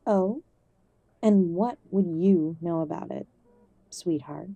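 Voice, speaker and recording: Woman acting bright, husky, pleading older mother. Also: lowish voice, slow pace, dry recording, muffled recording, heavily acted. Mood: gentle, guarded. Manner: soft, pleading, conciliatory. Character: ailing, contrite older mother of estranged werewolf son. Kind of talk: audio roleplay drama acting.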